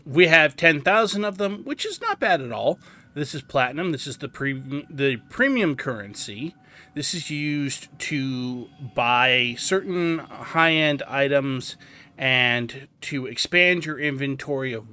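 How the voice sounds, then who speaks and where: Bright-voiced man hosting a game guide. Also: mid-range voice, lively intonation, takes it slowly. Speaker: gaming guide host. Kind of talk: casual tutorial game commentary.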